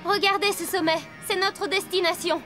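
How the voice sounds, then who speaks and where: A woman playing a clever, assertive young heroine in a children's animated adventure, with music behind her. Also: extremely high pitch. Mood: assured. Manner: brisk, assertive. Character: clever, bossy, resourceful young heroine girl. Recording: music underneath.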